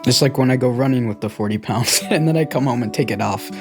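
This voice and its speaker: A man's voice: mid-range voice, quick pace, over music. A young American man talking candidly and informally about himself in a reflective personal conversation. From United States, born in Guatemala. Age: young adult. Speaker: podcast co-host telling his own life story.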